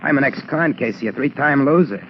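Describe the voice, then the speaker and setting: On a dull, slightly noisy 1940s radio broadcast, an actor plays a fearful male ex-convict turned shopkeeper. His voice is gravelly and he talks in hesitant, slangy, unschooled tough-guy phrases. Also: mid-range voice, lively intonation, brisk, natural voice. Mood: grim, anxious. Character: worried, fearful male ex-convict safecracker turned candy-store keeper. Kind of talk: 1940s radio drama acting.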